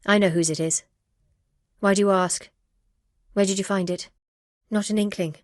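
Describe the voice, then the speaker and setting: An actress playing a grieving, wary middle-aged widow who runs a medieval stud farm, speaking in an earnest, plain-spoken way in video game dialogue. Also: female voice, mid-range voice, fairly even intonation, moderate pace, dry recording, studio quality, natural voice. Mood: guarded, troubled.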